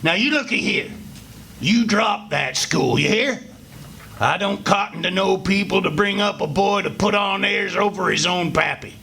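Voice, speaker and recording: A man's voice: high-pitched, creaky, sharp tone, breathy edge, full character voice. A man acting a mean, drunken, greedy father in a live radio play, taunting and domineering. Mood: menacing. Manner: taunting, domineering, drawn-out sneering. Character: mean, drunken, greedy backwoods father bullying his son. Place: live performance before an audience. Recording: noisy live stage recording.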